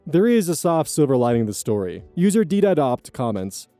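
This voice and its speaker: A man reading online stories aloud as host, adding blunt, profane commentary in a casual, animated way. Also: a little high, brisk, no echo, soft background music.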